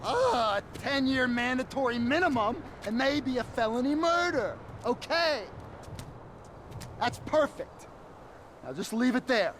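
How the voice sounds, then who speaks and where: A man's voice: extremely high pitch, expressive, a little slow, fairly bright tone, a little hiss or hum, heavily acted. An actor playing a dry, calculating man in a video game crime caper. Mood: dry, controlled. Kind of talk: video game cutscene acting.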